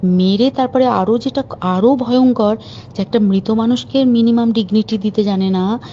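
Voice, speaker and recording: A woman's voice: a little high, normal speed, mellow. A woman on a private phone call, talking informally and urgently with open indignation. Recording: muffled phone call recording with faint hiss.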